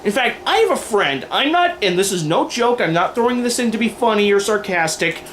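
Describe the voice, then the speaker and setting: A man's voice: sits high, sing-song, a little fast, a bit bright, a little hiss or hum. A man delivering opinion commentary to camera in an emphatic, wordy, informal way, like a host going through a list. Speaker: video host and aspiring filmmaker.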